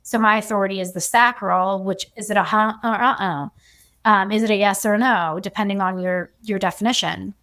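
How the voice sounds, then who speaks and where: A woman human design coach talking as a podcast guest, chatty and relaxed, and she often says "like.". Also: medium pitch, a little fast. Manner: chatty, fills her speech with "like". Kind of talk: casual podcast conversation.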